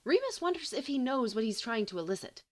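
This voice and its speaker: Woman reading fiction out loud, super animated pitch, kinda wry and dramatic. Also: fast. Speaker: amateur audiobook reader. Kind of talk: expressive scripted fiction narration.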